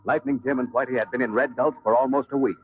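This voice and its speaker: A man's voice: medium pitch, fairly even intonation, fast, phone-quality sound, over loud music, lightly acted. A man narrating an old-time radio western as its storytelling announcer. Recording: thin, slightly noisy old radio recording.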